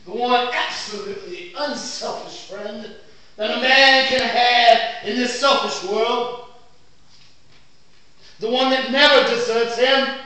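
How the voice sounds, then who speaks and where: Casting: man as solemn, theatrical barrister, bright high voice, orating in an echoey hall. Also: full character voice. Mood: earnest. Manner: grandiloquent, declamatory, solemn. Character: impassioned male lawyer pleading to a jury. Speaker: stage actor. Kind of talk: theatrical stage oratory. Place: indoor theatre stage. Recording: echoey theatre, distant mic, faint noise.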